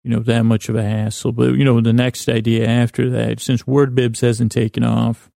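Gravelly, monotone man rambling softly off-topic. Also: medium pitch, no room sound, clean studio sound. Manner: meandering, halting, self-deprecating, trailing off mid-thought. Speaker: podcast host. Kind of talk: rambling bedtime podcast intro monologue.

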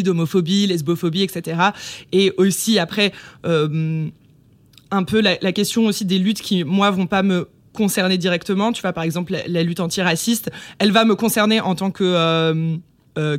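A woman's voice: on the low side, steady tone, moderate pace, no echo. Woman around thirty, close dry sound. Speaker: podcast guest. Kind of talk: casual conversational interview.

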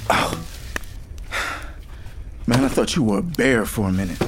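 A man's voice: fairly low pitch, unhurried, lightly acted. A man playing an earnest guitar-playing sidekick in an audio drama, reflective and a bit rambling. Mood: musing. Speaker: actor in audio fiction. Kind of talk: serialized audio drama acting. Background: plucked guitar music.

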